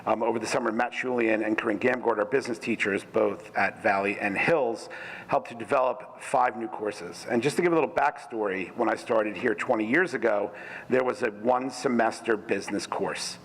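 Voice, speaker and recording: A middle-aged man supervising a school social studies department, addressing the school board in a loud voice and pausing often with ums. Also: on the low side, husky. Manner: loud voice, hesitant with frequent ums. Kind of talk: formal presentation to a school board. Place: school meeting hall. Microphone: room microphone. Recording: reverberant hall with faint noise.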